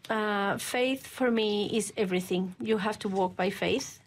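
Earnest woman. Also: medium pitch. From Colombia. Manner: earnest, halting English with frequent restarts. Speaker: guest, church women's ministry leader. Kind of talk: interview answers.

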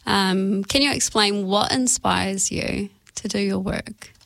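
Female interviewer, mid pitch, gravelly fry, informal and encouraging. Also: slow pace, fairly bright tone, no echo. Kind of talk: casual conversational interviewing.

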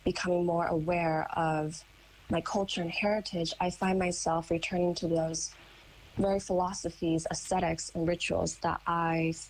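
A young woman with an American accent, a painter and writer answering interview questions in a thoughtful, measured way with frequent pauses. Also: on the low side, flat delivery, a little hiss or hum, poor audio. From United States. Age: young adult.

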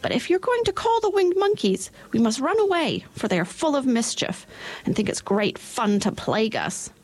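A woman voicing the tiny queen of the field mice with a squeaky little voice, gracious and helpful. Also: big pitch swings, moderate pace. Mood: kindly helpful.

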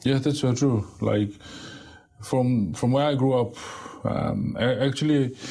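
A man from Fiji with a Fijian accent, a guest on a radio show, talking in a relaxed, hesitant way with many fillers and restarts. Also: medium pitch, unhurried, creaky. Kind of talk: casual radio interview.

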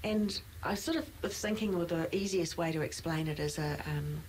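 A woman's voice: sits low, moderate pace, darkish tone, slight background noise, low-quality recording. A middle-aged woman, a nurse and clinical manager, co-hosting a casual podcast and explaining things in an easy, chatty way.

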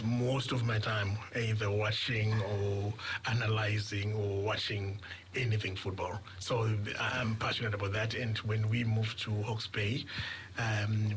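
Earnest man, halting speech. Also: on the low side, a bit bright, a little hiss or hum, poor audio. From Zimbabwe. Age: middle-aged. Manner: earnest, halting, repeats words while thinking. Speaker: radio guest. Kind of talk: radio interview.

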